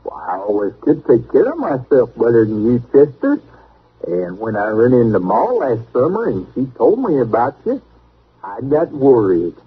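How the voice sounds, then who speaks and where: An actor playing a blunt, self-assured, eccentric backwoods younger brother in an old radio western, with a Texan accent and countrified phrasing. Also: male voice, mid-range voice, huge pitch swings, normal speed, long pauses, slightly rough, full character voice. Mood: earnest, boastful. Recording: muffled, hazy old radio recording.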